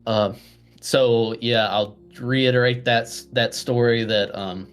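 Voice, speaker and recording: A man playing a tabletop game, talking casually and haltingly as he thinks out loud. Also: mid-range voice, steady tone, smooth, faint music behind.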